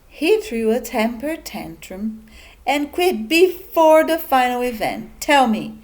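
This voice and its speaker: A woman's voice: a little high, sing-song, takes it slowly. A woman voicing a smug, needling male sports announcer in a children's picture book read-aloud, pointed and taunting. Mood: smug, prying.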